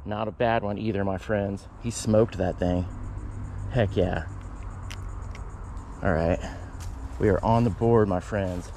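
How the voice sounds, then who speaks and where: A man vlogging while fishing outdoors by a canal, talking casually and breaking into excited exclamations. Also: lowish voice, slow, pauses often, slight background noise. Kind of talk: casual fishing vlog narration.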